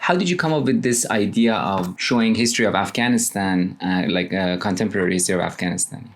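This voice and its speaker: A man interviewing a filmmaker, asking his question haltingly with many pauses. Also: lowish voice, a little hiss or hum. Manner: hesitant, halting with fillers.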